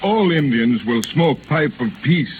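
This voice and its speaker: Solemn young messenger, noisy old radio. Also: male voice, a little high, expressive, measured pace. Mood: solemn, warning. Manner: stilted, solemn, oratorical. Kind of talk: 1930s radio acting. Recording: noisy, tinny 1930s radio recording.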